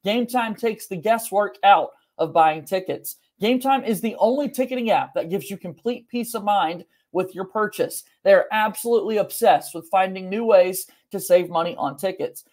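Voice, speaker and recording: A man's voice: high voice, normal speed, hardly any pauses, darkish tone. A young man hosting a sports podcast, speaking from a small room at home. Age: young adult. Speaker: podcast host.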